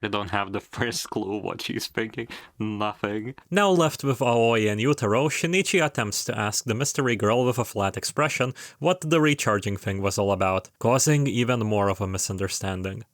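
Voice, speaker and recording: A man's voice: lowish voice, normal speed, few pauses, no echo, studio quality. A man narrating in a casual, scripted video style, with wry, irreverent, slangy joking asides. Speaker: video essayist.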